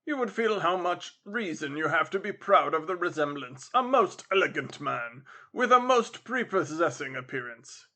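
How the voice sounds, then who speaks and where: A man voicing a pompous, flattering military gentleman in a storybook, with emphatic delivery. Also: fairly high pitch, moderate pace, hardly any pauses. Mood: flattering, effusive.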